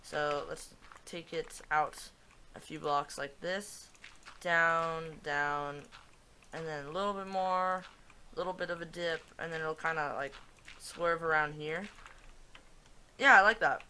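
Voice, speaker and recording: A man's voice: a little high, steady tone, unhurried, pauses often, pushed and bright, a little hiss or hum. A man hosting a casual gaming video, thinking aloud in a loose, rambling way as he talks over his own footage. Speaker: gaming channel host. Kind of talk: casual gameplay commentary.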